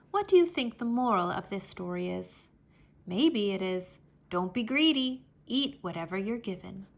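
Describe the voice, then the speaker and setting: A woman reading a children's story aloud with expressive, dramatic storytelling. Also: medium pitch, husky. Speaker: children's read-aloud narrator.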